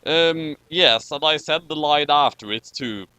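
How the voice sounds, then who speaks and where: Man from Norway, bright voice, slightly high pitch, casual readthrough commentary, online call, dry sound. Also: measured pace. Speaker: commentator reading aloud. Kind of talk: casual joking readthrough of fan fiction with commentary.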